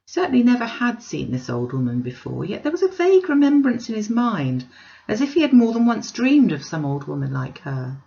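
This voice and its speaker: A woman reading a classic novel aloud as expressive storybook narration. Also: a little high, brisk, vocal fry, lo-fi sound. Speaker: audiobook reader.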